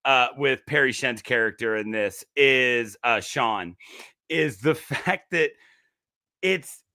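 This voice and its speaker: Gravelly, bright-voiced male podcast host. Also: medium pitch, takes it slowly, no echo, clean studio sound. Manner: chatty and explanatory, many fillers and restarts. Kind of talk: casual chat about a horror movie.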